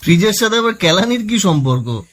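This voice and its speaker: A man's voice: high voice, big pitch swings, brisk, a bit bright, exaggerated voice. A man playing a polite, puzzled electrical shop employee who questions a complaining customer in a comic phone skit. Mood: puzzled, amused.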